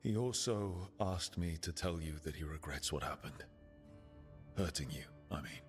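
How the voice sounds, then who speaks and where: Low-voiced, easygoing fantasy hero. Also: male voice, expressive, moderate pace, soft background music. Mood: concerned. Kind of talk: video game dialogue acting.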